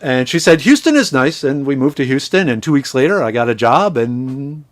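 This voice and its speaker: A man's voice: mid-range voice, big pitch swings, moderate pace. A middle-aged man from Minnesota, a podcast guest who tells stories in a chatty way, with many restarts, over a remote call. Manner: chatty storyteller with frequent restarts. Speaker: podcast guest, chemist and foraging instructor. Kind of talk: casual podcast conversation.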